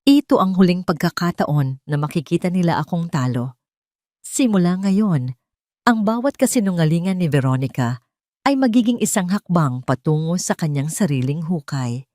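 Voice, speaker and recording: A woman's voice: lowish voice, expressive, moderate pace, warm tone, no echo, clean studio sound, close to an everyday voice. Woman reading as a bitter, vengeful older man. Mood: bitter resolve. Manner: solemn, vowing, intense.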